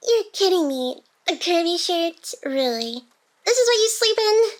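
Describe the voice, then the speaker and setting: Wounded, devoted AI hacker girl, played by a woman: high-pitched, breathy, teasing, halting, whisper-prone, close ASMR roleplay delivery. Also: sing-song, full character voice. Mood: teasing, fond. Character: playful, wounded young female AI hacker, secretly devoted. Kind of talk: intimate ASMR roleplay acting.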